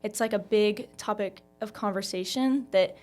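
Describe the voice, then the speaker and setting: A woman's voice: mid-range voice, moderate pace, a little mellow. Chatty young woman, casual podcast guest. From Texas, United States. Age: young adult. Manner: chatty, peppers talk with like. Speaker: student volunteer guest. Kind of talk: casual chat.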